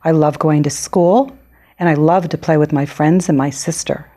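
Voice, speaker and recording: A woman reading aloud as an excitable six-year-old girl from a picture book, happy but quick to anger. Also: deep voice. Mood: cheerful.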